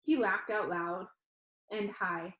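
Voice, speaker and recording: A woman's voice: mid-range voice, slow pace, dull audio. A woman reading a first-person novel aloud as narrator. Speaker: audiobook reader. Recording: echoey room.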